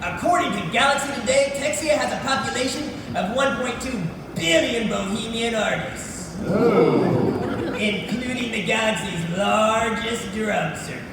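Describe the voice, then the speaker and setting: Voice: mid-range voice, unhurried, natural voice. A performer playing a pompous, gleeful villainous dictator with mock-polite, theatrical gloating in a comic stage piece. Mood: imperious. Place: large echoing competition room or hall. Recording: echoey hall from far off, loud noise.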